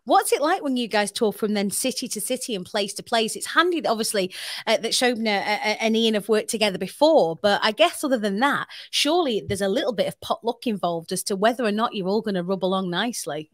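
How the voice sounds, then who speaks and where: A woman's voice: mid-range voice, lively intonation, quick pace, no room sound, studio quality. A woman hosting a podcast interview, asking long rambling questions with eager restarts. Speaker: podcast host. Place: small studio, indoors. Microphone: podcast mic on stand.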